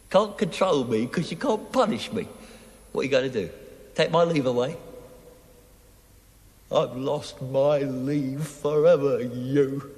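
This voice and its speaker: An actor playing a bitter, taunting, delirious dying army cook in a television play, ranting theatrically and mockingly. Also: male voice, mid-range voice, darkish tone. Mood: defiant. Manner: theatrical, mocking, swinging from mutter to shriek. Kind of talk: television stage-play acting. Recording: echoey hall with long reverb.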